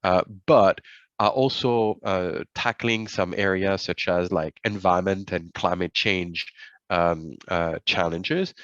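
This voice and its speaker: A man with a very low voice walks through slides in a webinar, pausing often with fillers and restarting phrases. The audio is dull and lo-fi, like a video call, with a dry, close sound and no room echo. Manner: halting, many fillers and restarts. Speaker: webinar presenter.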